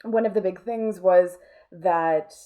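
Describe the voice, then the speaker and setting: A woman's voice: on the low side, slow pace, narrow, tinny audio. A woman talking casually as an interview guest, thoughtful and informal, often restarting her sentences. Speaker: interview guest, artist and voice actor.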